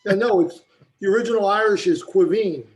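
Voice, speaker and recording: American guy on a video call, voice a bit high, rambles and says you know a lot. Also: a little fast, a little hiss or hum. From United States. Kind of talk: casual chat on a video call.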